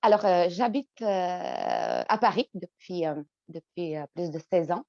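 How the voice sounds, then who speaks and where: A woman's voice: on the low side, a little slow, a little mellow, dry recording, muffled recording. A woman from the Czech Republic with a Czech accent, answering interview questions in a hesitant way, full of fillers and repeated words. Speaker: guest.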